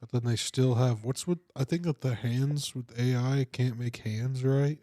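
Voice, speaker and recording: A man's voice: medium pitch, measured pace, dark tone, dry recording. A man co-hosting a comedy podcast, tossing in dry one-line quips during casual studio banter. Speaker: podcast co-host.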